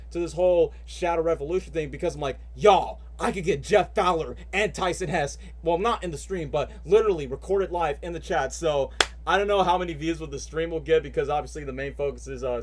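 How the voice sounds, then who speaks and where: A man ranting and chatting casually as a live streamer before reacting to cutscenes, rambling with lots of fillers. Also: a little high. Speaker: streamer and voice actor.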